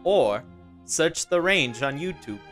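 Man acting a bright, chipper radio-ad dad. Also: fairly high pitch, expressive, slow pace, soft background music. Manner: folksy, jokey, salesman-like. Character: chipper dad pitching to his young son. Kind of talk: scripted radio ad dialogue.

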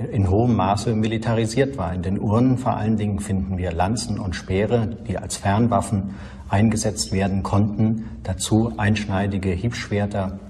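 A man speaking as an archaeology expert in an interview, lecturing deliberately in a reverberant room. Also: lowish voice, darkish tone. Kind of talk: explanatory interview.

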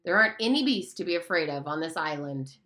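A woman's voice: deep voice, lively intonation, quick pace, sharp tone. A woman voicing a boastful, bossy boy who leads the hunters, talking in a scornful, blustering way.

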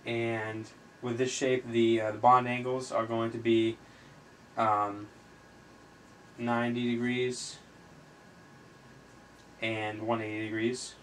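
A man giving an informal chemistry tutorial, explaining step by step in a halting way as he thinks aloud while writing. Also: medium pitch, flat delivery. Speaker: chemistry tutor, video host. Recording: noisy amateur recording with room ambience.

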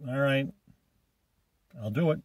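A man's voice: medium pitch, takes it slowly. A man in his fifties bantering in a car, telling a dry joking story. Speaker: vlogger's companion. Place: inside a car. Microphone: dashboard camera.

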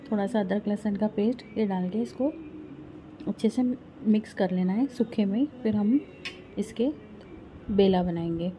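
A woman's voice: medium pitch, dark tone, slight background noise. Homely woman explaining a home recipe. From Rajasthan, India. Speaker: home cooking vlogger. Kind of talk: casual cooking walkthrough. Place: home kitchen.

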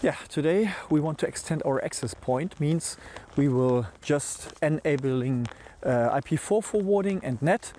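A middle-aged man giving a casual step-by-step tech tutorial as host, with halting, hesitant phrasing. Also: fairly high pitch, lively intonation, mellow, slight background noise.

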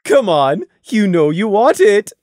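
A woman voicing a bubbly, cheerful female pony officer who talks loudly and pushily. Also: medium pitch, very animated, slow pace, no room sound, clean studio sound. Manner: chirpy, pushy, loud.

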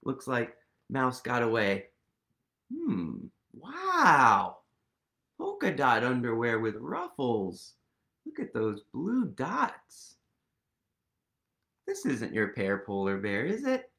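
Very animated man reading a picture book aloud. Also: mid-range voice, slow pace, vocal fry, pauses often. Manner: playful, exaggerated wonder, asks listeners questions. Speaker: storytime reader. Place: small room at home, indoors.

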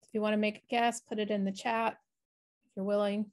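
A woman's voice: mid-range voice, flat delivery, a little fast, a little mellow. A middle-aged woman, a researcher explaining her work in an online presentation over a video call. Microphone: video call, webcam framing.

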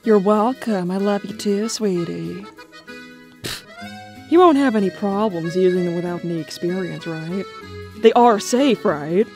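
A woman voicing a weary, sardonic guardian of a child in an animated comic dub, dry and exasperated. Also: medium pitch, unhurried, natural voice. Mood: wary. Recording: music behind the voice.

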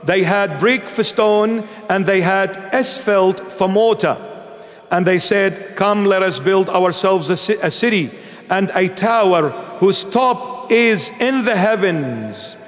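A man's voice: high-pitched, takes it slowly. A middle-aged man from Iraq, a bishop giving an emphatic sermon with Bible reading. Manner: emphatic, gesturing, animated preaching. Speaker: bishop preacher. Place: large church sanctuary, seated at altar. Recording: long church reverb.

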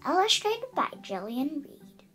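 A woman's voice: high voice, big pitch swings, a little slow, a bit bright, faint music behind. An eight-year-old girl reading a children's picture book aloud expressively and carefully, stumbling over some words. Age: child, about eight. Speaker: young storybook reader.

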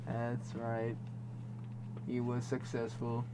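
A man's voice: mid-range voice, monotone, slow, noisy background. A man giving casual, exclamatory commentary as he streams a video game. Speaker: gaming streamer. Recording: loud game music and effects behind. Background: game soundtrack.

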